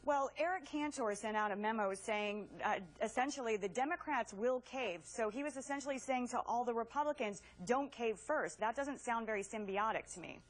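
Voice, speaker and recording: A woman's voice: on the high side, normal speed, hardly any pauses. An American woman hosting a television news programme, presenting and putting questions to a political guest. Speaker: TV news host, interviewer. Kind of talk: broadcast news presenting and interviewing.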